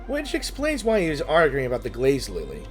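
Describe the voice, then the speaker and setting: Gaming streamer, mid pitch, hugely varied intonation, casual commentary, faint game music. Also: a little fast. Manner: animated, reactive. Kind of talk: casual game playthrough commentary, reading and reacting.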